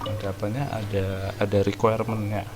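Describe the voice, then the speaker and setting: A man's voice: lowish voice, level tone, quick pace. A young Indonesian man giving casual gameplay commentary as a streamer, with muttered slangy asides. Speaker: gaming streamer. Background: game music.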